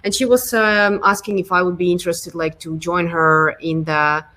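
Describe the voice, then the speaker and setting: A woman's voice: on the low side, steady tone, moderate pace, pushed and bright. A woman in her thirties from Ukraine with a Ukrainian accent, answering interview questions casually with many ums and restarts. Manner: hesitant, many fillers and restarts. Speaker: guest, product design lead.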